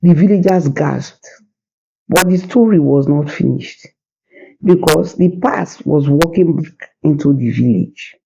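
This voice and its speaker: A storyteller narrating a folktale in a slow, deliberate, suspenseful and dramatic way. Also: mid-range voice, gravelly, warm tone, dull audio. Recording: hissy background noise.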